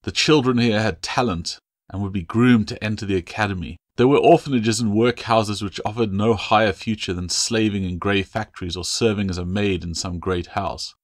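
Low-voiced man narrating an audiobook. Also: normal speed, no echo, clean studio sound. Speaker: author reading own novel.

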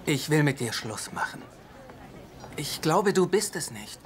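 A man's voice: a little high, sing-song, measured pace, a little hiss or hum. An actor dubbing an awkward young man who stammers his way through a breakup. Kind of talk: German dub of a sitcom.

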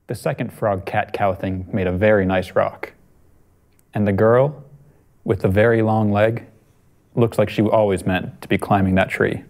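Low-pitched young man at storytime. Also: fairly even intonation, normal speed, a little mellow. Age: 20s. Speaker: storytime reader. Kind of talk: reading a picture book aloud, then casual chat. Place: small TV studio at a desk.

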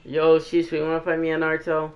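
A man's voice: on the high side, monotone, fast. A man chatting casually with friends in a game party, bragging and trash talking playfully. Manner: boastful, playful trash talk. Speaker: gamer chatting in a party while waiting for a match. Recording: muffled lo-fi game chat audio.

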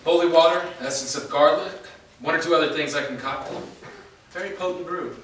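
A man playing a quiet, resigned young swordsman who is part vampire, read at a staged reading in a large, echoey studio. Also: fairly high pitch, a little fast, natural voice. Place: large indoor rehearsal studio. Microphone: far camera mic. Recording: noisy echoey studio from far off.

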